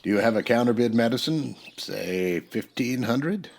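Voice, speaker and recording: An actor playing a steady, patient Old West marshal in a radio western, straight-faced and measured. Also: male voice, mid-range voice, vocal fry. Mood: prompting.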